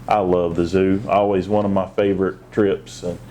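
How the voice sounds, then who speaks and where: A man's voice: sits low, level tone, normal speed, mellow, a little hiss or hum. A man reading a children's picture book aloud, with short asides of his own. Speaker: assistant police chief, guest storybook reader.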